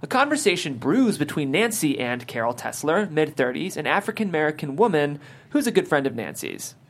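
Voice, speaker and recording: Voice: medium pitch, big pitch swings, fairly quick. A narrator reading out scene headings and stage directions from a screenplay at a table read.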